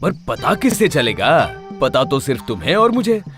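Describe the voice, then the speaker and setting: A man's voice: mid-range voice, big pitch swings, moderate pace, over music, close to an everyday voice. A man voicing a clever, mischievous village husband in a cartoon story, with a sly, theatrical delivery. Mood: mock-serious. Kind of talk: animated cartoon story acting.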